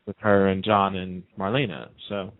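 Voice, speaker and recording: A man's voice: sits low, level tone, normal speed, no echo. A man chatting casually and emphatically as a guest on a radio show, repeating himself to make his point. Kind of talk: casual soap opera discussion. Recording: narrow phone-like line.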